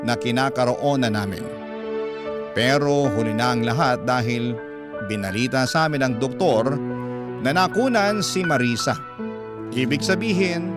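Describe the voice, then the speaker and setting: An actor playing a heartbroken young man, narrating his letter in a Filipino radio drama with measured sorrow over loud music. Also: medium pitch, natural voice.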